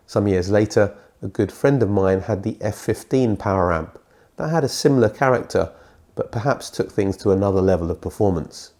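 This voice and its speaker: Man, British accent, middle-aged, congested and croaky, dark mellow tone, scripted review. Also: on the low side, normal speed. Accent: British English. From Britain. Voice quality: thick, croaky. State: congested from a cold.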